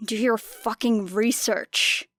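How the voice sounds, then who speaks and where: A woman's voice: fairly high pitch, fairly even intonation, slow, fairly bright tone. Woman voicing a strained, wary teenage girl. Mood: cold anger. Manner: curt, chilly, strained. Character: angry, wary, foul-mouthed teenage schoolgirl prone to panic. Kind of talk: audiobook character dialogue.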